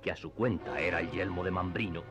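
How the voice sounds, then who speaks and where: Deep-voiced male narrator over music. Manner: measured, literary. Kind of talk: scripted literary radio theatre narration.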